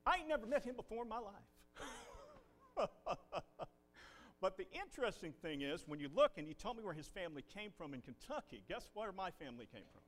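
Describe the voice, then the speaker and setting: A man, a visiting preacher leading a Bible class and prayer in a folksy, animated way. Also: high voice, moderate pace, long pauses, a little hiss or hum. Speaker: guest preacher. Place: church building.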